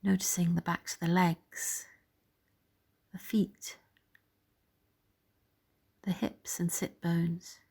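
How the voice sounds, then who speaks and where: Breathy low-voiced woman guiding meditation. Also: little pitch movement, whispered. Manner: soft, gentle, soothing delivery with pauses. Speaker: meditation guide. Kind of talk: guided body scan meditation.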